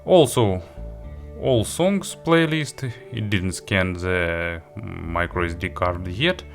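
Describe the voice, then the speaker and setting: A man giving a product review walkthrough, halting a little as he lists features item by item. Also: sits low, gravelly, faint music behind. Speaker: tech reviewer demonstrating an audio player.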